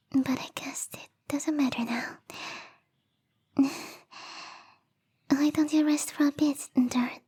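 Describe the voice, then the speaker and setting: Female actor as bubbly snow maiden, high girlish voice, soft mellow whisper, sweet, pleading, lonely, ASMR roleplay. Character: bubbly, lonely young female snow spirit longing for a husband.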